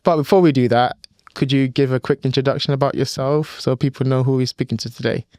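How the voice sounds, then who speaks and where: Young male podcast host, clear resonant voice, middle pitch, loose and chatty. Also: fairly even intonation, quick pace, no room sound, studio quality. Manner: warm, rambling questions with many "you know" fillers. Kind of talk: casual podcast interview.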